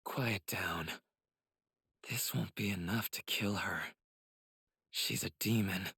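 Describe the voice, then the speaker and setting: A man playing a cold, controlling young spider demon in an anime game, speaking softly and with condescension. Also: low-pitched, creaky, husky, no echo, studio quality, full character voice. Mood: contemptuous. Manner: menacing, condescending, deliberate. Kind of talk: English anime game voice acting.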